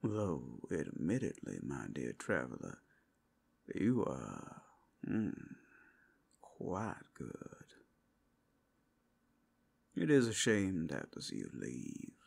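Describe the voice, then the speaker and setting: A man voicing a flirtatious, sly male werewolf in an ASMR roleplay, with teasing, drawn-out asides. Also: rumbling low, huge pitch swings, creaky, husky, exaggerated voice. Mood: flirtatious, wistful.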